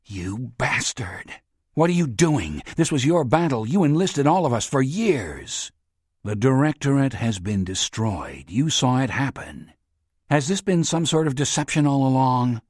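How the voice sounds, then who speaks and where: Man voicing a male agent, tense, clipped, mid pitch, dry. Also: very animated, a little slow, darkish tone, slightly rough, no echo. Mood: angry.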